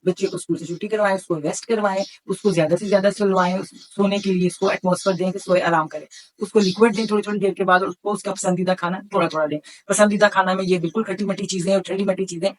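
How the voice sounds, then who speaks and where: A woman's voice: mid-range voice, fairly even intonation, fast, a little hiss or hum, poor audio. Middle-aged woman explaining health advice. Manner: instructive, listing points with repetition. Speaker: homeopathic doctor presenting health advice. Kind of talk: explanatory health talk to camera.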